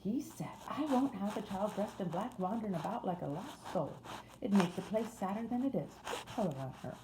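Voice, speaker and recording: A woman's voice: medium pitch, expressive, brisk, pitched above the performer's own voice, natural voice. A woman voicing a blunt, kindly young housemaid with a Yorkshire accent in a storybook reading. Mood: chatty. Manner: plain-spoken, dialect thee and thou. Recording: roomy echo, faint noise.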